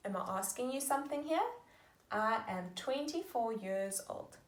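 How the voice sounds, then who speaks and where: A woman teaching young children, slowly sounding out sentences and praising them warmly. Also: fairly high pitch, lively intonation. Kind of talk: slow, patient lesson for young children. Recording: echoey room.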